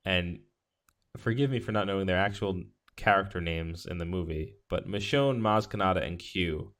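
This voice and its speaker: A man with a lowish voice talks casually as a podcast co-host. He is agreeable in manner and feels his way through sentences, often backing up to rephrase. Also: normal speed, a bit bright. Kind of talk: casual movie discussion.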